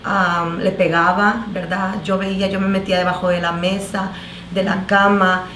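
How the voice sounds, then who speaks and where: A woman pastor from El Salvador with a Salvadoran Spanish accent, giving her testimony on a talk show in an earnest, halting way with many fillers and restarts. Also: medium pitch, little pitch movement, fairly bright tone, reverberant, slight background noise. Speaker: pastor, guest.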